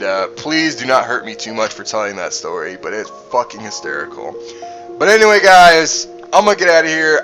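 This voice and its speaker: Casual, sweary man storyteller over music. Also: mid-range voice. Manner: heavy swearing, frequent "you know" and "man". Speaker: gaming streamer telling personal stories. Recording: music playing behind the voice. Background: background music.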